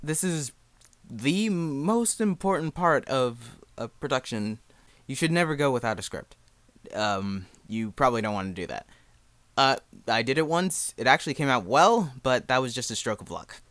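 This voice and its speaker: Clear-voiced young man, casual tutorial. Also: mid-range voice, lively intonation, normal speed, a bit bright, no room sound. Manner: informal, self-deprecating. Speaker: amateur fan dub creator giving a tutorial.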